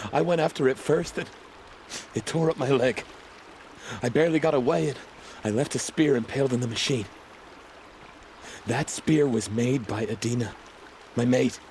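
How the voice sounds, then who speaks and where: A man's voice: mid-range voice, darkish tone, slight background noise, natural voice. A man voicing an injured, widowed tribesman in a video game, a guilt-ridden father who speaks in a strained, pleading way. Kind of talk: game dialogue acting.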